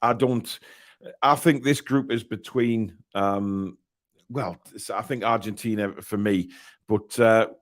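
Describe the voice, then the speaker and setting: A man's voice: on the low side, steady tone, slow pace. A man hosting a casual live football stream, chatty and hesitant, reading out chat messages and poll results. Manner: chatty, upbeat when reading chat and polls, hesitant fillers. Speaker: live-stream show host.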